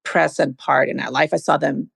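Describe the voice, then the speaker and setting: A woman's voice: low voice, little pitch movement, normal speed, vocal fry, slightly rough, no echo, clean studio sound. A young adult woman from Sweden, a podcast guest, telling reflective stories about her family in a casual conversation. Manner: reflective, warm storytelling with many "like" fillers.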